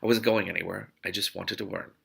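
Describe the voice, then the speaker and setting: Man, low gravelly voice, bright forceful tone, expressive novel reading, enthusiastic asides. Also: fast talker. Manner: enthusiastic, interjects reactions. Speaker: host of a book read-aloud show. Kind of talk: expressive audiobook-style reading with spontaneous asides.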